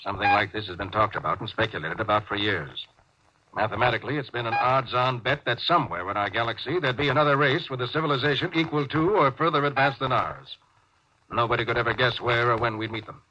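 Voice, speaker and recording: A man's voice: mid-range voice, fast, bright, forceful tone, lightly acted. A man playing a distrustful, short-tempered spaceship captain in a 1950s radio drama, with a commanding, brooding, theatrical delivery. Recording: muffled, noisy 1950s radio recording.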